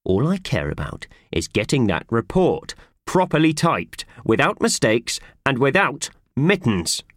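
A man's voice: medium pitch, sing-song, unhurried, no echo, clean studio sound, pitched above the performer's own voice. A man voicing an irate, domineering male office boss in a children's story, barking and squawking his orders. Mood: menacing.

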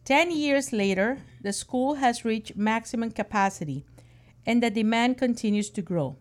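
A woman's voice: a little high, expressive, normal speed. A woman hosting an interview show, speaking in an upbeat, emphatic way and often affirming her guest. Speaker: host.

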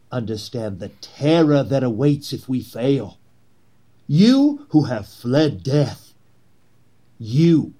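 Male actor as theatrical Confederate plotter, period drama, raised pitch, rough-edged voice, pleading oratory, grandiose. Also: warm tone, full character voice. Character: fervent, theatrical Confederate plot leader, persuasive and grandiose, 1860s. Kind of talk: period stage drama acting.

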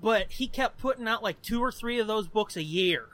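A man's voice: high voice, expressive, moderate pace, fairly bright tone. An American man in his twenties hosting a podcast, chatting casually and telling personal stories in a rambling, profanity-laced way. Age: 20s. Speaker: podcast host.

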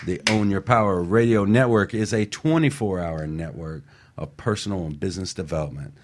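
A man's voice: sits low, brisk. A man co-hosting a motivational talk show in a casual chat, speaking emphatically like a coach.

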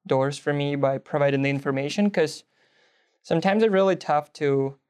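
A man's voice: fairly high pitch, moderate pace, darkish tone, clean tone. Young man from belarus, russian first language, earnest, kinda hunts for words. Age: young adult. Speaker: guest, nursing student. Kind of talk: interview answers.

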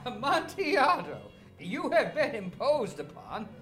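A tipsy, wildly swooping wine snob. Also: male voice, very high voice, very animated, slow pace, a bit bright, soft background music, exaggerated voice. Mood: eager, dismissive. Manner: theatrical, boastful, chuckling and coughing. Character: tipsy, proud wine connoisseur in carnival, eager. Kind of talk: dramatic reading of a gothic tale.

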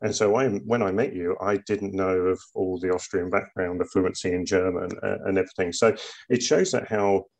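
A man's voice: sits low, fairly even intonation, normal speed, vocal fry, darkish tone. A man hosting a podcast, asking his guest questions in a friendly, conversational way. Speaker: podcast host. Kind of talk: podcast interview.

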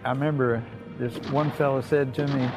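A man's voice: mid-range voice, steady tone, normal speed, over music. An elderly American man, a war veteran, reminiscing in a plainspoken, folksy way and often repeating himself. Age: 80s or older. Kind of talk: oral history reminiscence.

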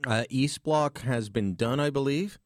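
A man's voice: medium pitch, measured pace, no room sound, clean studio sound. A man hosting a call-in radio talk show, chatting easily with callers and laughing often.

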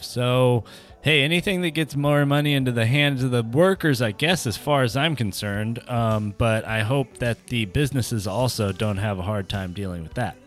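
An American man hosting a podcast, rambling through jokey opinions on the news and tossing rhetorical questions at listeners. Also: lowish voice. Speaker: podcast host. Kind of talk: casual comedic news chat.

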